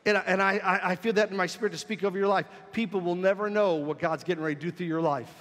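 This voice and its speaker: Male pastor, high voice, emphatic sermon delivery, shouting at the peaks, long church reverb. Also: fairly even intonation, fast, a little hiss or hum. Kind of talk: sermon with call-and-response. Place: church sanctuary.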